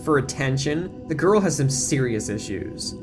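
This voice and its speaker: A man's voice: medium pitch, lively intonation, moderate pace, close to an everyday voice. A man voicing a catty, cruel teenage girl gossip in an audio drama, snide and dismissive, with music behind.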